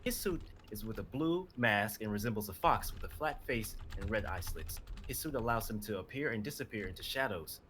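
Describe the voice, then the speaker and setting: A man's voice: fairly low pitch, lively intonation, a little fast, few pauses, fairly bright tone, a little hiss or hum. A young man on a live stream, reading his own dramatic script and chatting casually about it. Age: young adult.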